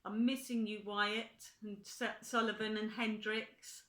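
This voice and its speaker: Grandmother type, older woman, unhurried storybook read with homely asides, roomy echoing sound. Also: medium pitch, level tone, fairly bright tone. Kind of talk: children's story read aloud with chatty asides. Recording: echoey room.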